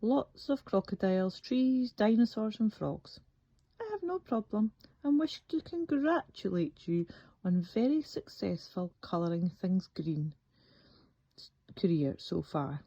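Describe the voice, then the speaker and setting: A woman reading a children's picture book aloud as a bedtime story, with deliberate, theatrical phrasing. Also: mid-range voice, sing-song, dark tone. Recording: dull, echoey room recording.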